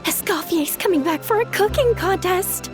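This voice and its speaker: Excitable, very high-voiced young townswoman. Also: moderate pace, over music. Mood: excited. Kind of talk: scripted video game crowd dialogue.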